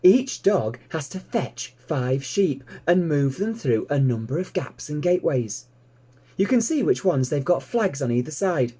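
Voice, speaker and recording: Man doing a motherly lady sheepdog, patient, kindly, explains stuff, lifted pitch, lively. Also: slightly rough. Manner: gentle explaining.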